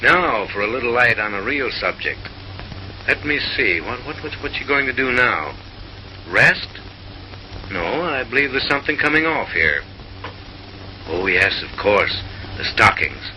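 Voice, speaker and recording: A man's voice: mid-range voice, sing-song, unhurried, pauses often, bright, forceful tone, a little hiss or hum, low-quality recording. A man narrating in a mid-century comic newsreel style, with an arch, mock-refined, theatrical delivery, on a vintage film soundtrack.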